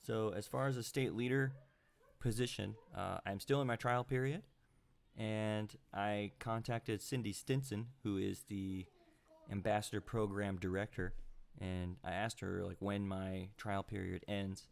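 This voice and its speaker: Man, very low voice, gravelly, congested, rambling live-stream chat, home computer mic. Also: fairly even intonation, unhurried. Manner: rambling, many fillers and chuckles. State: sounds congested. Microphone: home computer mic with high gain.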